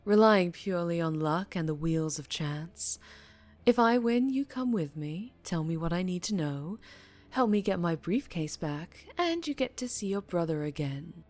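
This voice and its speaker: A woman as a low, slow, menacing mafia boss. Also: lively intonation, darkish tone. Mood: coolly bargaining. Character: dangerously charming female mafia boss, menacing, teasing, in control. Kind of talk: ASMR roleplay audio drama, addressing the listener.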